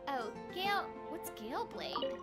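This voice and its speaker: A young woman chatting casually as she streams a game, giggly and quick to exclaim, on a close microphone indoors. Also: very high-pitched, sing-song. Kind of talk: casual chat over live gameplay. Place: indoor room at home. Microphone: close desk microphone with webcam. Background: game music.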